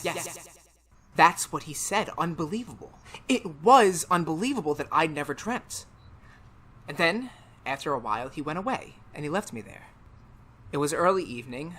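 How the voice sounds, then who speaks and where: A man's voice: on the high side, bright, forceful tone. A man playing a tormented, anxious teacher in 1930s-style radio drama, with an intense, melodramatic delivery. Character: tormented male biology teacher, haunted and anxious.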